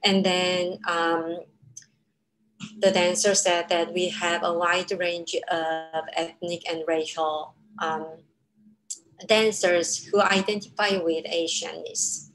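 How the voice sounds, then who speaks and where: Korean-accented woman, 30s, academic presenter on a video call; lowish voice, measured delivery. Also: flat delivery, fairly bright tone, rough recording. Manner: measured, with frequent ums. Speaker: academic speaker. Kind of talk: webinar lecture. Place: home room. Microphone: laptop webcam on a video call.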